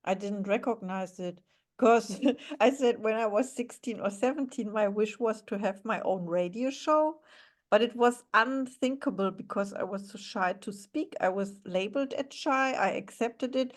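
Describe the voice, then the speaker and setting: A woman with a German accent hosting a podcast interview, thankful and warm, with light laughs. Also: a little high, moderate pace. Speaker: podcast host. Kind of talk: conversational interview.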